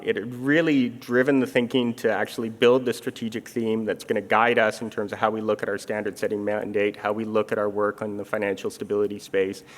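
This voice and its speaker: A middle-aged man from Canada speaking in a measured, explanatory way, with many fillers, in an onstage armchair conversation. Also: on the low side. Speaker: international insurance supervision official. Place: conference stage. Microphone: handheld or stage microphone.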